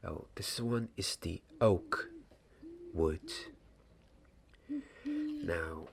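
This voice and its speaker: Hushed, low, slow young man. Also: wildly swooping pitch, noisy background. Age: young adult. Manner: hushed, deliberate, halting. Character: gentle young wand maker's apprentice. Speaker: ASMR roleplayer playing a wand maker's apprentice. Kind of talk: ASMR roleplay, soft-spoken and half-whispered. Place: small candlelit indoor set.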